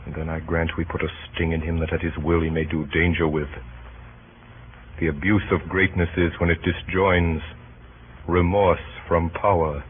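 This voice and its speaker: An actor playing Brutus as a grave, brooding Roman conspirator in 1930s radio Shakespeare style, speaking in deliberate, rhetorical verse. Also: male voice, sits low, little pitch movement, natural voice. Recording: muffled, faintly noisy 1930s radio broadcast.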